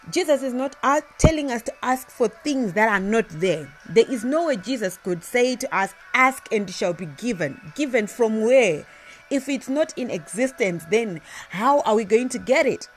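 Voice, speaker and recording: Woman preaching with a high voice. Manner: repetitive, emphatic preaching with frequent restarts. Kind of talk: sermon.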